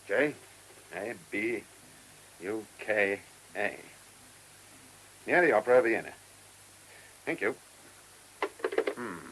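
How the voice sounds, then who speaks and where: A man's voice: lowish voice, a bit bright, full character voice, rough recording. A man with a British accent playing a dry, shrewd chief inspector in a radio drama, wry, clipped and questioning. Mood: businesslike. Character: dry, shrewd male police chief inspector, teasing superior. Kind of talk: mid-century British radio drama acting. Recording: old radio recording with faint hiss.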